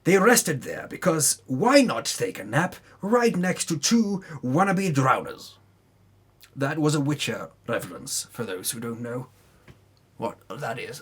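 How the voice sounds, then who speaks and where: A man's voice: fairly high pitch, husky. A man narrating a tabletop campaign recap as a dramatic storyteller, with theatrical delivery and casual asides.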